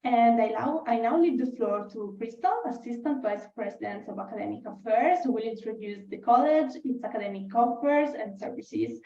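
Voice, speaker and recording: A woman's voice: a little high, moderate pace, hardly any pauses, mellow, a little hiss or hum. A woman hosting a webinar over a video call, giving a scripted welcome. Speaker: webinar host. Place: video call in an echoey room. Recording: muffled, echoey, distant webinar mic.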